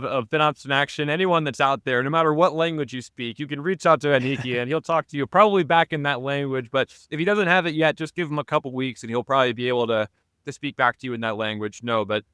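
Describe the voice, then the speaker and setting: Male podcast host, mid pitch, clear resonant voice, dry close mic, friendly banter. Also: fairly bright tone, no echo. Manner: friendly, joking. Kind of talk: casual interview chat.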